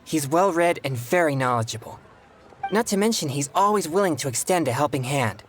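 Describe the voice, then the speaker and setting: An actor playing a polite, earnest young male exorcist in a video game, speaking in formal, courteous, measured phrases. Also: on the high side, animated, a bit bright, faint music behind. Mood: grateful, sincere. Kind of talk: scripted video game dialogue.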